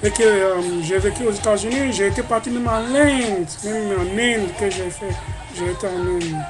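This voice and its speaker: A man from Africa talks straight into a webcam, emphatic and indignant, circling back over the same phrases again and again. Music plays clearly in the background of his recording. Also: sits high, normal speed. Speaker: vlogger. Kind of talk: webcam monologue.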